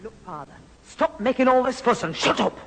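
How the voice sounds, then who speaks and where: An exasperated mum, scolding and put-upon with very lively intonation. The radio comedy performance has a long reverb around it. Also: female voice, a little high, fairly quick, slight background noise. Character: exasperated, sharp-tongued working mother and daughter. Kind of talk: 1970s radio sitcom acting. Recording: reverberant old radio recording.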